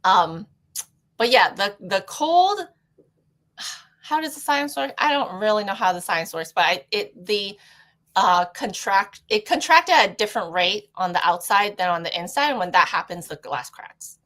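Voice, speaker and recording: A woman's voice: medium pitch, expressive, normal speed, a bit bright. A young woman from Toronto, whose first language is Cantonese, talks casually as a podcast guest, thinking aloud with many fillers and restarts. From Toronto, Canada. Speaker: guest artist.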